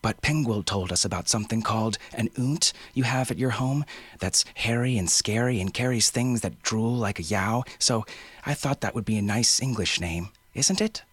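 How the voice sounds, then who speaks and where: A man's voice: lowish voice, moderate pace, breathy edge, dry recording, voice pushed up. A man voicing a cheerful, chattering five-year-old island girl. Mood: delighted. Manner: eager, breathless chatter.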